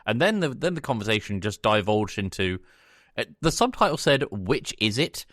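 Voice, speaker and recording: A man's voice: on the low side, very animated, moderate pace, a bit bright, no room sound, clean studio sound. A man co-hosting a podcast, casually explaining things about films and searching a little for his words. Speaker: podcast co-host. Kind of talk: casual film review chat.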